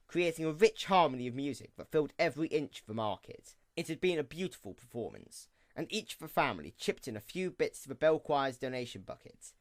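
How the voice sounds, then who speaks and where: Lively male story narrator. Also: a little high.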